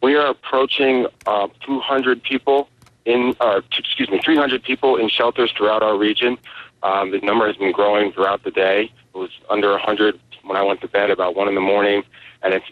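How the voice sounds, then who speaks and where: A man, a spokesman giving halting, informative answers in a live phone interview over a tinny line. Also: fairly low pitch, no echo. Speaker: relief agency spokesman. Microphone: telephone.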